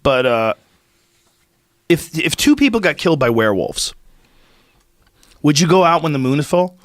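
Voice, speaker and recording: A man in his fifties hosting a podcast, chatting casually in a lively, profane way while congested from a cold. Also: a little high, moderate pace, no room sound, studio quality. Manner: animated, profane storytelling. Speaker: podcast host. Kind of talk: casual chat. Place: studio with brick wall. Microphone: studio mic on boom arm, headphones.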